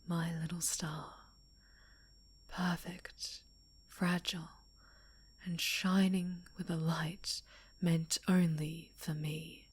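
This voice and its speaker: A woman acts out a sinister winged superhero villainess for an ASMR drama. The voice is breathy and husky, with slow, deliberate delivery. The character is possessive and obsessive, speaking with menacing tenderness and theatrical intensity. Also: on the low side, flat delivery, exaggerated voice. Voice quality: breathy, whispery. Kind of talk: ASMR roleplay monologue, theatrical voice acting.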